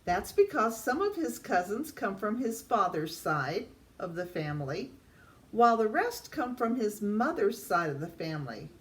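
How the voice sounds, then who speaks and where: An older woman reads a children's picture book aloud in a deliberate way, addressing young listeners. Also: mid-range voice, sing-song. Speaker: storytime reader. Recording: distant mic, faint background noise.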